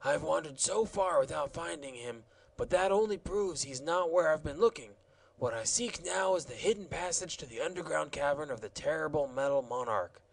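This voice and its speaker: Storybook role: an easygoing, shaggy wanderer, adult man, offhand and chatty, gravelly tone with lively pitch, read by a man. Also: normal speed, poor audio. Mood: confident. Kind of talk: audiobook character voice.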